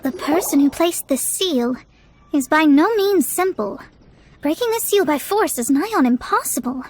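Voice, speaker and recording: A woman's voice: high voice, animated, normal speed, a little mellow, a little hiss or hum. A woman playing a proud, melodramatic young astrologer with haughty, formal phrasing, in an anime-style video game. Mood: haughty, focused. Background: music.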